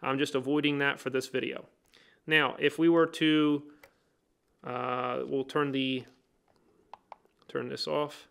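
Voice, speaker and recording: A man's voice: medium pitch, level tone, takes it slowly, vocal fry, pauses often, fairly bright tone, clean tone. A man teaching HVAC basics, explaining each step plainly and working through a hands-on bench demonstration. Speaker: HVAC trainer. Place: indoor workbench.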